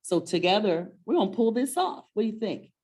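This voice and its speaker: A woman's voice: mid-range voice, very animated, fairly quick, darkish tone. A middle-aged woman hosting a children's story-time webinar, talking casually and encouragingly and often asking questions. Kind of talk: casual conversational presenting to and with a child.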